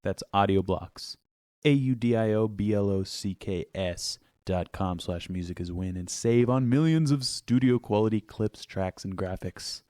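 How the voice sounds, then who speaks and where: A man's voice: fairly low pitch, mellow, no echo. A man hosting a music podcast from a home studio, reading a sponsor spot and then chatting playfully with a co-host, joking and stretching words for emphasis. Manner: playful, jokey, drawn-out emphasis. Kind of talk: casual chat with an ad read. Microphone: studio microphone. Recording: dry close studio mic.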